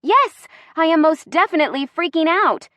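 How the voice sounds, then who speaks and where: A woman's voice: a little high, lively intonation, moderate pace, a bit bright, no echo, voice pushed up. A woman acting an emotional, dramatic young heroine. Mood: exasperated. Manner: emotional, emphatic. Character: impulsive, dramatic, apologetic young heroine in love.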